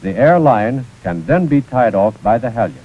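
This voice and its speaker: A man's voice: mid-range voice, big pitch swings, moderate pace, breathy edge. An American man narrating a scripted 1940s instructional film in a precise, formal manner. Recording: muffled, scratchy 1940s film soundtrack.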